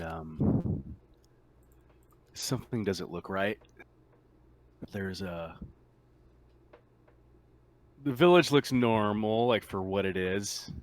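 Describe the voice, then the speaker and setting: Male game master, lower register, improvised and conversational scene description for tabletop play. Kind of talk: narrating a tabletop roleplaying game session.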